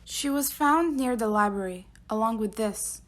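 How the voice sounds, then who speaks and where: A woman's voice: fairly high pitch, moderate pace, lightly acted. A woman playing a stern, commanding professor in an audio drama, formal and authoritative. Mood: grave. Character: stern, commanding female professor at wizard school. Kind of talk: fan audio drama acting.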